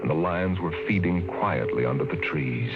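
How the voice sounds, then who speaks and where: A man's voice: low voice, moderate pace, phone-quality sound. A man narrating a 1950s radio drama with a dramatic storytelling delivery. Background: loud music score.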